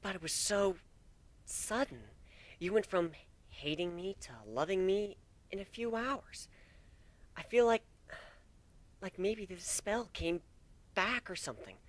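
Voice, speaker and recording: A man voicing an affectionate, slightly anxious female changeling in a storybook reading, soft and breathy, with a gentle, hesitant manner. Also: high voice, expressive, takes it slowly, long pauses, pitched above the performer's own voice. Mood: insecure.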